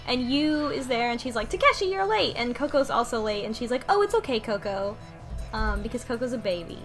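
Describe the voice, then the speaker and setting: A woman's voice: fairly high pitch, big pitch swings, brisk, faint music behind. A woman co-hosting a podcast, casually recapping a game's story and acting out bits of dialogue in an informal way. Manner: informal, quotes characters in dialogue. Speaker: podcast co-host.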